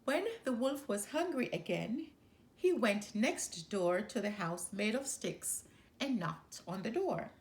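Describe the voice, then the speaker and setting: A woman reading a children's story aloud as an expressive storyteller. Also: mid-range voice, slow pace.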